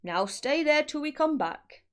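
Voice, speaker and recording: A woman's voice: sits high, animated, measured pace, voice pushed up. A woman voicing a nasty, bullying man from a children's storybook, barking like a fearsome trainer. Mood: barking orders. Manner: barking, shouted commands and threats. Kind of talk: children's storybook read-aloud.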